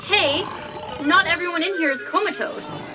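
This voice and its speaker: A puzzled, grumbling sidekick played by a woman in a comic sci-fi audio play. The voice is high, and music plays clearly behind it. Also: normal speed.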